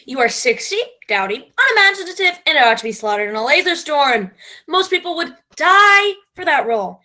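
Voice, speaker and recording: A woman's voice: high-pitched, big pitch swings, brisk, bright, forceful tone, heavily acted. A woman playing a domineering, self-important playwright and director in a theatrical comedy, with a grandiose, imperious manner. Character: pompous, domineering female radio playwright-director, self-declared genius.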